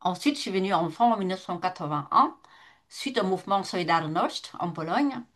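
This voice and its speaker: A woman's voice: fairly low pitch, animated, fairly quick, fairly bright tone. A retired woman from northern Vietnam, speaking French with a Vietnamese accent, who talks as a guest in an online debate, with many fillers and restarts. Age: older.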